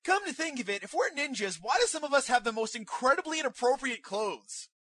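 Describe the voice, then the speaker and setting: A woman's voice: sits high, expressive, fairly quick, a bit bright, slight background noise. A woman voicing a brash, dim teenage ninja boy with slangy surfer-dude speech in a cartoon parody. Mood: slangy, casual. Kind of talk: fan-dub parody cartoon acting.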